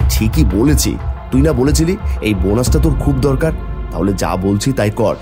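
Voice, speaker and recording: A man's voice: medium pitch, expressive, moderate pace, close to an everyday voice. A man playing a brash, callous office colleague in a horror cartoon, speaking bluntly and insistently. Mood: forceful, scheming. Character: brash, callous, self-protecting male colleague and driver. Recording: music behind the voice.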